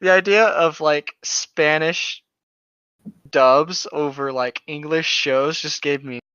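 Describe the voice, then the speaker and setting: A man's voice: on the high side, steady tone, slow pace, pushed and bright, smooth, no echo. A man co-hosting a casual commentary, chatting and reading aloud, on a slightly dull lo-fi microphone. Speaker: co-host.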